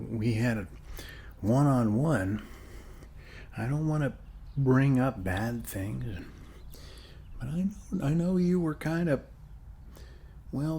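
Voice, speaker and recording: A man speaking softly and unhurriedly in a friendly, casual ASMR roleplay, as a neighbor chatting one-on-one, with frequent pauses. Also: mid-range voice, slight background noise. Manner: soft-spoken, friendly, unhurried, pausing often. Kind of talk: ASMR roleplay, one-sided casual chat over coffee.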